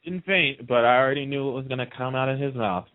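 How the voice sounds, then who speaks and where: A man's voice: medium pitch, quick pace, phone-quality sound. A man chatting casually as a radio guest, telling stories and repeating phrases for emphasis. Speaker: radio host being interviewed. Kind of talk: casual chat, storytelling.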